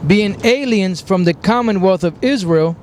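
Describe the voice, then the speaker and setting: A man preaching outdoors, slowly reading scripture verses aloud and then explaining them. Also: high voice, a little mellow, slight background noise.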